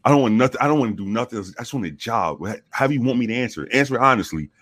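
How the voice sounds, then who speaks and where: A man from the Bronx with a very deep voice, telling profane, animated stories with many restarts in a casual interview. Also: rapid-fire, dry recording, studio quality. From the Bronx, New York. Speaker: guest, former police officer.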